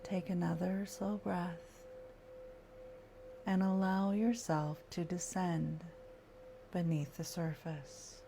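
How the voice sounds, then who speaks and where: A woman leading a guided meditation in a slow, soft, soothing way with long pauses. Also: lowish voice, fairly even intonation, vocal fry, background noise.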